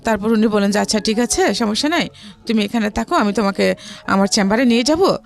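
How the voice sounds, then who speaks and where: A woman's voice: mid-range voice, expressive, quick pace, vocal fry, faint music behind. A woman with a Bangladeshi accent, a guest recounting her own life story in conversation.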